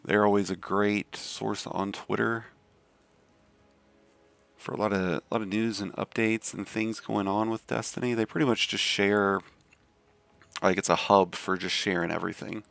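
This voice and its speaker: A man from the Southern US with a deep voice and a Southern accent, chatting casually on a game live stream. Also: steady tone, moderate pace, creaky, fairly bright tone, no echo. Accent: Southern American. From Southern United States. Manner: laid-back, rambling with many fillers.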